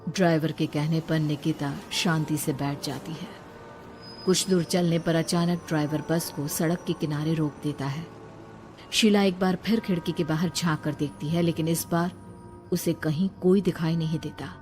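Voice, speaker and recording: A woman's voice: low voice, fairly even intonation, moderate pace, a little mellow. A woman narrator reading a scripted horror story in a storytelling style. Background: music.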